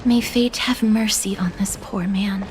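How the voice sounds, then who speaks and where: A woman playing a gentle, polite young female companion in a video game, considerate in how she talks. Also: mid-range voice, fairly even intonation, a little slow, soft background music, lightly acted. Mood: solemn. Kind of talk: video game character acting.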